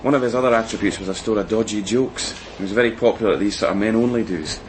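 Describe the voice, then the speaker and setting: Casting: male actor as chatty, quick-talking junior policeman, slangy, radio drama, noisy background. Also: on the low side, natural voice. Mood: breezy, gossipy. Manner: slangy, breezy. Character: chatty, cheeky junior policeman eager to report. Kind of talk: naturalistic radio crime drama acting.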